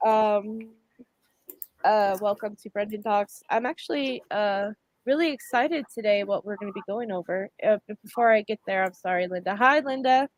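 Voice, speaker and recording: A congested woman hosts a casual livestream, her voice dry and close with no room around it. She chats at a medium pitch, full of ums and restarts as she sets up the discussion. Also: moderate pace, no room sound. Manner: chatty, lots of fillers and self-corrections. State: congested from a cold. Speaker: livestream host.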